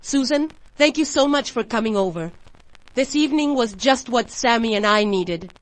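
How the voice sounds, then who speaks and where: A woman playing a soft-hearted, grateful mother, polite and tender, in a radio drama. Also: a little high, animated, normal speed, no room sound, natural voice. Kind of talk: scripted radio drama dialogue.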